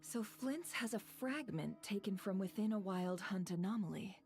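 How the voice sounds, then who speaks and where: A woman's voice: mid-range voice, animated, normal speed, a little mellow, faint music behind, natural voice. A woman playing a composed, shrewd female strategist in fantasy video game dialogue. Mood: measured.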